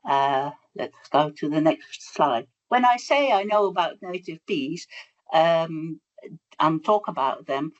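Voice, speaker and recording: A woman's voice: low voice, expressive, slow, dull audio. A woman from the Netherlands with a Dutch accent, a bee researcher presenting in a webinar, hesitant with many fillers. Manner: hesitant, many fillers, warm about her topic. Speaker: bee researcher, guest speaker.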